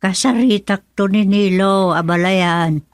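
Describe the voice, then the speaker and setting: Woman playing a conciliatory Filipino in-law, mid-pitched. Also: a little slow, dry recording, lightly acted. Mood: deferential. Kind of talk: Ilocano radio drama acting.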